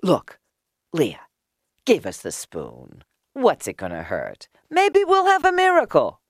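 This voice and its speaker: Woman as male synagogue caretaker, skeptical, excitable, insistent, storybook tale, very animated intonation, dry sound. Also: on the low side, slow pace, no room sound, voice pushed up, full character voice. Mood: persuading. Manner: blustering, insistent.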